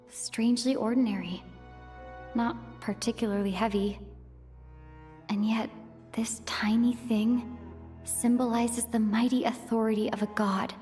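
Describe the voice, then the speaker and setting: A woman plays a young traveling heroine from a video game. Her voice is high and gentle, earnest in manner, with music underneath. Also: lightly acted.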